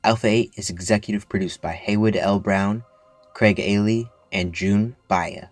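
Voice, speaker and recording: A man's voice: fairly low pitch, steady tone, moderate pace, dry recording, soft background music. A man reading scripted end credits as the announcer of an audio drama. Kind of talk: scripted narration.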